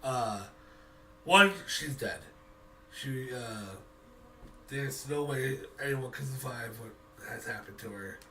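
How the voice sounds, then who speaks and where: A man's voice: mid-range voice, slow pace, bright, forceful tone. A man running a tabletop game, improvising narration and rulings as game master. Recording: distant mic, faint background noise.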